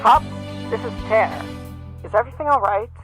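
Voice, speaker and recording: A woman playing a calm, reassuring, experienced lawyer mentor in an amateur audio drama, with music behind. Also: fairly high pitch, a little fast, lightly acted. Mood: concerned. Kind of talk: fan audio drama acting.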